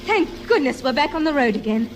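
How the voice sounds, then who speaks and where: A woman's voice: high-pitched, sing-song, brisk. An actress playing a concerned female companion in a 1950s British radio comedy. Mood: anxious. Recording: very noisy vintage radio recording.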